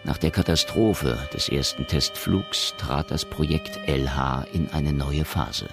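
An extremely deep, gravelly man's voice narrates a radio drama over music. The storytelling is sober and measured. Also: heavily acted. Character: sober male storyteller of a science fiction tale. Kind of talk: scripted radio play narration.

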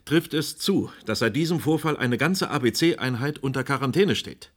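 An actor plays a male radio host in a radio play. He is pointed and sceptical, pressing his guests with insistent questions. His voice sits at a medium pitch. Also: expressive, normal speed, lightly acted. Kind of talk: radio play acting as a live discussion host.

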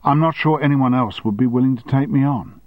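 A man voicing an indignant, sharp-tongued longtime woman secretary with cutting, sarcastic retorts, on a dry, slightly dull recording. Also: medium pitch, a little fast, no room sound, pitched above the performer's own voice, lightly acted.

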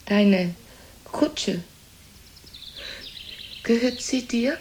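An older woman playing an aging, resigned stage performer and mother in a German radio drama, speaking haltingly in short phrases. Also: fairly high pitch, expressive, a little mellow. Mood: resigned, wistful. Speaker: actress in a radio play. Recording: radio play with faint background noise.

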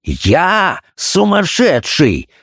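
A man playing an enraged, half-mad gentleman doctor in an audiobook story, shouting imperiously in fury. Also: sits high, huge pitch swings, extremely slow, no room sound, studio quality, pitched above the performer's own voice, exaggerated voice. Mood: frenzied rage. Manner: furious shouting.

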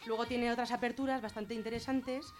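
Woman explaining, clear music behind. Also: on the high side, little pitch movement, fairly quick. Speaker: guest commenting on gender violence law. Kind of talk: informal explanatory radio talk.

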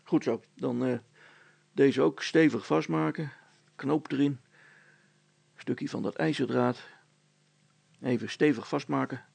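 Man playing a husky, muttering village handyman. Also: medium pitch, takes it slowly, pauses often, darkish tone, no room sound, full character voice. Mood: focused. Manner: hesitant, muttering, practical. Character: practical, good-natured male handyman, busily muttering.

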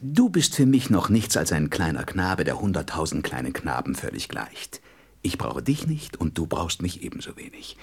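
A wise, courteous fox from a storybook radio play. The voice is rough and husky, and the pitch swings widely as it speaks with wistful feeling. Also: male voice, wildly swooping pitch, moderate pace, full character voice. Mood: earnest, wistful.

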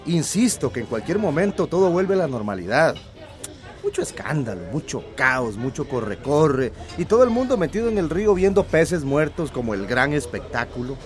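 Prickly village man, slightly high. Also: very animated, moderate pace, faint music behind. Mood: dismissive. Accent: Central American. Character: dignified, lonely village man, stubborn and prickly. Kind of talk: radio drama acting.

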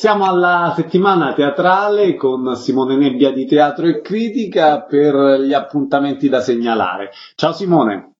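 A man's voice: fairly high pitch, expressive, measured pace, few pauses, dull audio, faint music behind. A man hosting a radio programme, asking concise questions and making formal announcements. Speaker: radio host and interviewer.

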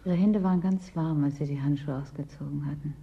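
An actress in a German radio play as a wistful, anxious clerk, chilled and clinging to hope. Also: female voice, low voice, a little fast, vocal fry, breathy edge, lo-fi sound, heavily acted. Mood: wistful, resigned.

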